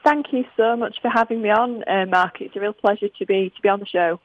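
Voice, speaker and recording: A woman's voice: mid-range voice, fast, no room sound, phone-quality sound. A woman from Yorkshire, England, with a strong Yorkshire accent, a guest author speaking from home, friendly and hesitant with many ums. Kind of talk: radio interview. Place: her home.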